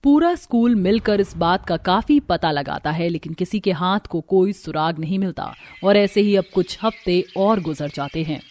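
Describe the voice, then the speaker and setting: A woman narrator reading a scripted animated horror story. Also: fairly low pitch, moderate pace, hardly any pauses.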